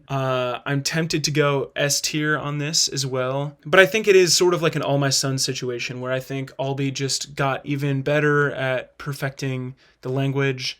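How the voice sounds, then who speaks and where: A man with a medium-pitched voice gives a casual spoken review. He talks like a host thinking out loud, qualifying his judgments as he goes.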